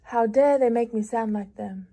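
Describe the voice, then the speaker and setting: A young woman reading her own lyrical prose aloud in a measured, reflective way with long pauses. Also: on the high side, dark tone. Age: young adult. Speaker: prize-winning young writer.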